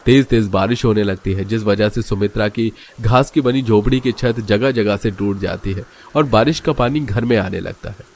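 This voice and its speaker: A man's voice: on the low side, normal speed, hardly any pauses, darkish tone. A man narrating a scripted cartoon story as its storyteller.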